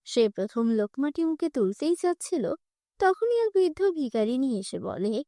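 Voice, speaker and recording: A woman's voice: sits high, very animated, normal speed, dark tone, dry recording, studio quality. A woman narrating a children's fairy tale from a script.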